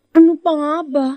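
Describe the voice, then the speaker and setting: A very high-voiced teenage sister. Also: female voice, mellow, no echo. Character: reluctant but caring teenage elder sister. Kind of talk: Filipino radio drama acting.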